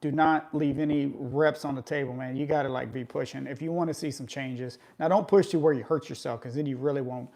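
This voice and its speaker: A man's voice: mid-range voice, steady tone, brisk, creaky, few pauses, a little mellow. A man in his forties chatting casually with live viewers about workouts, coaching in a conversational way and often tagging his points with "right?". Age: 40s. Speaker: fitness vlogger.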